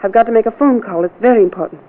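Female actor for a friendly but troubled town clerk, high voice, vintage radio drama style, narrow muffled sound. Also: rapid-fire, lightly acted. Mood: evasive. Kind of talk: 1940s radio crime drama acting. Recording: muffled, narrow-band old radio recording.

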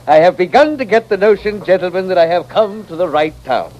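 An actor playing a pompous little financier with florid, oratorical speech, in an old-time radio western. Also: male voice, high-pitched, a little fast, darkish tone, dull audio, close to an everyday voice. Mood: grandiose. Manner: florid, oratorical, name-dropping. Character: pompous, grandiose little financier and smooth-talking swindler. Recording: noisy vintage radio recording.

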